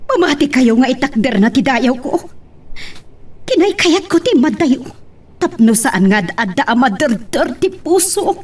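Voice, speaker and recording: Grown daughter played by a woman, radio romance: earnest and wounded, husky, with widely swinging intonation. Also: high voice, very animated, measured pace, far from the mic, heavily acted. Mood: hurt, defiant. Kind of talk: Ilocano radio love drama acting.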